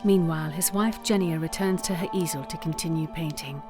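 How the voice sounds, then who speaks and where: A woman playing the solemn storyteller of a fantasy audio drama, narrating in a measured, dramatic way. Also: sits low, music in the background, lightly acted.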